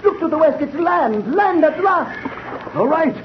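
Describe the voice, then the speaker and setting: Radio drama: overwrought castaway, very high, swooping delivery; muffled vintage recording. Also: male voice, lively intonation, unhurried, slight background noise. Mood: urgent excitement. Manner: dramatic, exclamatory. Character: weary male castaway turned wildly excited. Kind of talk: 1930s radio acting.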